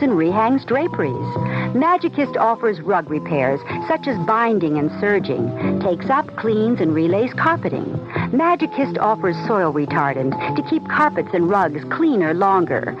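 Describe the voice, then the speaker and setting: A woman reading a radio advertisement in an upbeat sales manner. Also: medium pitch. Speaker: commercial announcer. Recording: dull old radio ad with music.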